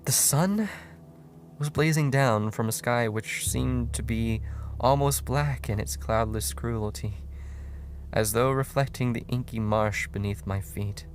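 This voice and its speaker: A man giving a dramatic horror story narration in a brooding, halting way with pauses. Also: mid-range voice, slight background noise. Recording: music under the voice. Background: music.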